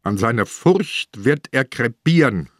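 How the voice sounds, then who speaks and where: Very slow, grim old inspector. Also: male voice, mid-range voice, animated, no room sound, clean studio sound. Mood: grim, defiant. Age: older. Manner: deliberate, emphatic, weighty. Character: sick old police inspector, stubborn, grimly determined. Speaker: actor in a crime radio play. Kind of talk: German radio drama acting.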